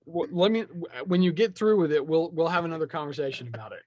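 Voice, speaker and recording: A man's voice: fairly high pitch, expressive, fast, lo-fi sound, crowd noise. A man in a casual podcast chat, opinionated and rambling as he thinks aloud. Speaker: podcast speaker discussing film franchises.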